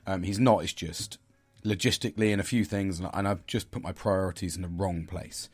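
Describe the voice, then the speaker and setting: A middle-aged man from the United Kingdom with a husky voice that sits a little low. He hosts a casual solo podcast and talks hesitantly, with frequent ums and restarts. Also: steady tone, a little fast. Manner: hesitant, many fillers and restarts. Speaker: podcast host.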